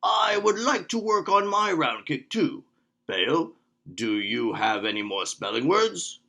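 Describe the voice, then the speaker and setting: A man voicing a calm, instructive male martial arts master in a children's storybook. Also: on the high side, slow pace, heavily acted. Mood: kindly. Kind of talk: children's storybook read-aloud.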